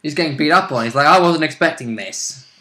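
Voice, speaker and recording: Man, bright forceful tone, higher pitch, casual gamer chat, chiming in. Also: lively intonation, fast. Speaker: player recapping a role-play session. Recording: roomy, echoing home recording.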